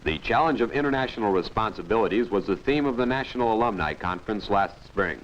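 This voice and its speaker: A man's voice: fairly low pitch, expressive, fast talker. A man with an American accent reading scripted narration for an early 1960s newsreel. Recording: dull, noisy 1961 newsreel soundtrack.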